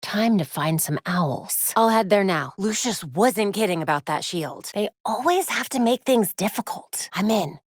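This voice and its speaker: A woman's voice: medium pitch, expressive, unhurried, few pauses, no room sound. Young American female crimefighter for a game: focused, wry, tech-savvy, closely recorded and dry. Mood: earnest, focused. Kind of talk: video game voice lines.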